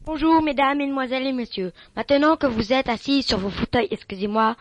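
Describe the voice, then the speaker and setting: A woman playing a hurried presenter who opens a show in a fake-formal way during an improvised sketch. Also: high voice, muffled recording, background noise.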